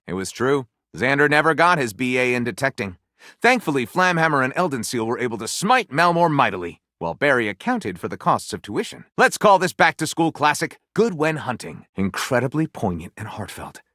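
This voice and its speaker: A man's voice: mid-range voice, big pitch swings, moderate pace, pushed and bright, dry recording, studio quality. A man voicing a theatrical, flirty literary storyteller in a video game, pompous and grandiose, with wry asides. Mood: grandiose, amused. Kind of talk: dramatic video game character acting.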